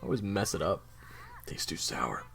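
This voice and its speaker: A man's voice: low voice, sing-song, normal speed, creaky, a bit bright, heavily acted. A man playing a laid-back, sweary young agent in an audio roleplay, casual and slangy in his delivery. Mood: rowdy, upbeat. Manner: casual, slangy, hesitant starts.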